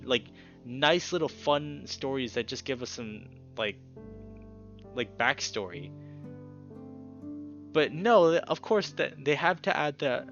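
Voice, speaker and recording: A man giving casual, emphatic game commentary as a let's play host, pausing dramatically and swearing mildly. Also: mid-range voice, fairly bright tone, lo-fi sound. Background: guitar music.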